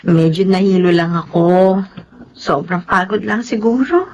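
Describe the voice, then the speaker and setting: A woman's voice: fairly low pitch, unhurried, a little mellow, lo-fi sound. A woman playing a loving, hardworking Filipino mother, speaking tenderly and sentimentally in a radio drama. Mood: gentle reproach. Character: Gemma, loving hardworking mother and new grandmother.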